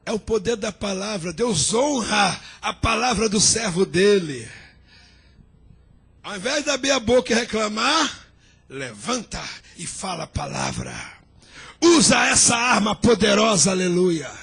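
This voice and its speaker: Man preaching in church, high voice, gravelly edge, bright husky tone, shouting sing-song sermon. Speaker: pastor. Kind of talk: fiery sermon.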